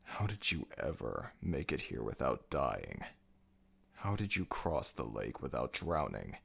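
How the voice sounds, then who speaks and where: A man playing a commanding, brooding ancient jackal god of the dead in an ASMR audio roleplay, with a gravelly, very deep voice and a slow, imperious delivery. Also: very animated, fairly bright tone, exaggerated voice. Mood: pondering, concerned.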